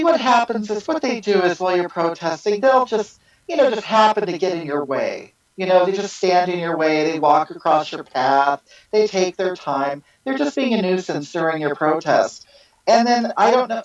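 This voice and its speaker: A performer doing an earnest activist woman who stumbles over her own sentences, stammering and jumping in pitch before settling back down. Her voice arrives muffled and lo-fi, like remote call audio, at a middling pitch. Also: lightly acted. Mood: earnest defensive. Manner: halting, repeats words, jumps high in pitch. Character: self-styled female social justice activist, earnest and rambling. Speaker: guest being interviewed. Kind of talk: satirical interview answers.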